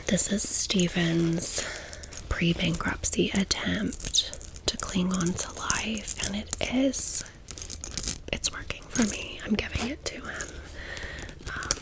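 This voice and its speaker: A woman's voice: medium pitch, rough recording. An American woman in her thirties whispering a chatty, playful ASMR storytime in casual, slangy phrasing. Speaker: ASMR video host.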